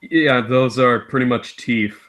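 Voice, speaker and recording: Unhurried man chatting casually. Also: medium pitch, a bit bright. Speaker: guest co-commentator. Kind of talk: casual chat.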